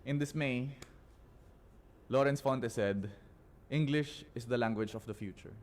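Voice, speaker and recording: A man with a Filipino accent performing spoken word poetry as a guest, in deliberate, dramatic phrases with weighted pauses. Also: medium pitch, a little mellow. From Philippines.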